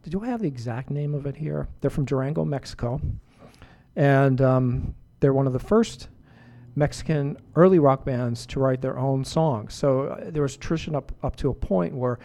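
A middle-aged man hosting a music radio show, chatting casually between songs in a relaxed, rambling way with many fillers and restarts. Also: mid-range voice, normal speed, vocal fry.

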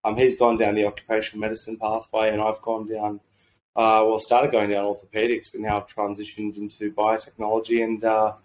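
A slightly croaky Australian man talking in a rambling, informal, mildly sweary way as a podcast guest. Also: on the low side, monotone, a little fast, rough recording. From Sydney, Australia. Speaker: podcast guest, medical doctor and entrepreneur. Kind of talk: casual conversational interview.

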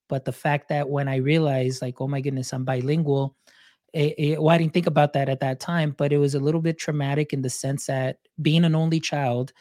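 A man's voice: on the high side, darkish tone, dry recording, clean studio sound. A man hosting a podcast, a native Spanish speaker, talking in a reflective, storytelling way with many fillers. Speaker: podcast host. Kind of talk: casual interview chat.

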